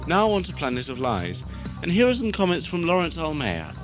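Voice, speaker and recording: A man hosts a scripted magazine programme. His voice is fairly high and swoops widely in intonation, with music softly behind it. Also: huge pitch swings, a little fast. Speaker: host.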